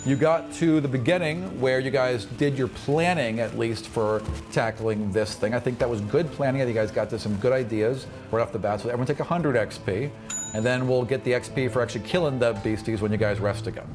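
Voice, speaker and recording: A man's voice: mid-range voice, fairly quick, music in the background. A man speaking as game master and host of a fantasy tabletop podcast.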